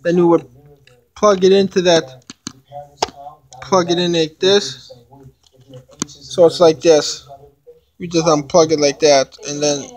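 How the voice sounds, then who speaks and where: A young man giving a casual unboxing demonstration, explaining things in a halting, repetitive way into a handheld camera. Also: a little high, low-quality recording. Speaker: hobbyist video maker. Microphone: handheld camera, no tripod.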